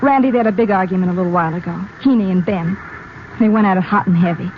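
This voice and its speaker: A woman's voice: mid-range voice, rapid-fire, natural voice. Actress as anxious woman on muffled old radio. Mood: worried, insistent. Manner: colloquial, emotional. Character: anxious, pleading woman, fretful and insistent. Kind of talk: old-time radio drama acting. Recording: muffled, faintly noisy vintage radio recording.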